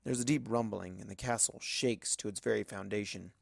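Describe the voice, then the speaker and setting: Creaky-voiced man narrating a story. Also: fairly low pitch, a little fast, dry recording, clean studio sound. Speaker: audiobook narrator of fan fiction. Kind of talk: first-person dramatic scripted narration.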